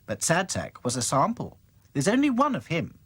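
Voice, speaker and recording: A man voicing a bossy, cheerful girl doll in a storybook, explaining things briskly. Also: on the high side, huge pitch swings, a bit bright, husky, dry recording, voice pushed up, heavily acted. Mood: eager.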